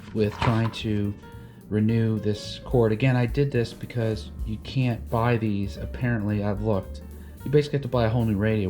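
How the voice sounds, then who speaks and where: A man hosting a DIY boat vlog, explaining things in casual, unscripted how-to chat with a halting delivery and repeated words. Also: fairly low pitch, mellow.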